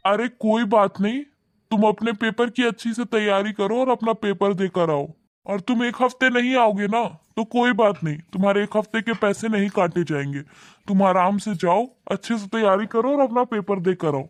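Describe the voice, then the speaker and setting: A man's voice: high voice, moderate pace, no room sound, close to an everyday voice. A man playing a kind preachy young husband, earnest, lecturing, cartoon moral story, dry sound. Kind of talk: cartoon voice acting.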